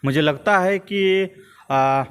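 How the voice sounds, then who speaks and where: A young man with a Jharkhand Hindi accent answering as a political activist in an interview, in a measured, hesitant way with repeated phrases. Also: high-pitched, clear, resonant tone. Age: young adult.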